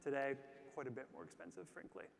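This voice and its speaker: Fast-talking man answering questions on stage. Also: medium pitch, creaky. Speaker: startup founder answering questions. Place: large theatre stage before live audience. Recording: long reverberant theatre hall.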